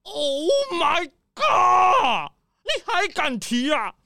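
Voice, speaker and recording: An actor playing a pompous, boastful rich crocodile gentleman in a children's audio drama, with theatrical, drawn-out exclamations. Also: high voice, big pitch swings, vocal fry, dry recording, studio quality, full character voice. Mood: indignant, mocking. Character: pompous, showy rich crocodile gentleman throwing his birthday banquet.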